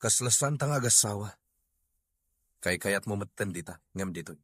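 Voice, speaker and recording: A man's voice: fairly low pitch, expressive, normal speed, fairly bright tone, dry recording, clean studio sound. A man playing a frustrated Filipino husband and grown son in a drama. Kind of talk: comedy-drama acting.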